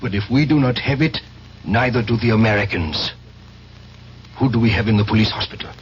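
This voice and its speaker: An actor playing a cold, menacing male spy chief who gives orders in a measured, authoritative way in a radio drama. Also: on the low side, close to an everyday voice. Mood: cold, commanding. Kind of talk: 1970s radio drama acting. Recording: noisy, dull old radio broadcast recording.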